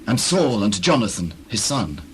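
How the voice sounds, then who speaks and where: An actor playing a subdued young messenger from a battlefield, gravely recounting in a scripture audio drama on old cassette. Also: male voice, lowish voice, big pitch swings, normal speed. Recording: noisy old cassette tape recording.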